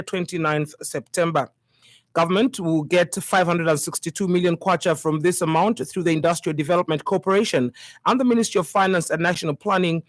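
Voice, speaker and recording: A woman with a Zambian accent reading a scripted radio news bulletin in a formal, measured way. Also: lowish voice, no echo, clean studio sound.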